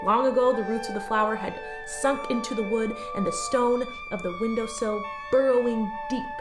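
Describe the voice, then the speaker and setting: A woman's voice: on the high side, normal speed, natural voice. A woman voicing an eager rookie alien office clerk who loves reading a strange story aloud and begs a coworker for more time. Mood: dreamy. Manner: dreamy storytelling, pleading with coworker. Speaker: voice actor. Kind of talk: audio drama acting. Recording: roomy echo over loud music.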